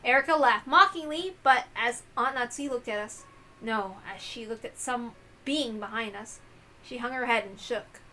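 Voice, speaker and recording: High, bright-voiced woman narrating. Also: normal speed, slight background noise. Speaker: narrator reading a visual novel aloud. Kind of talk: dramatic scripted narration.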